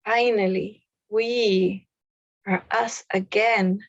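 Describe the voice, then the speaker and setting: Slow-paced woman guiding a meditation. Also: mid-range voice, fairly even intonation, no echo, highs cut off. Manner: soothing, unhurried, pausing between short phrases. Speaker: meditation guide. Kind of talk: guided meditation.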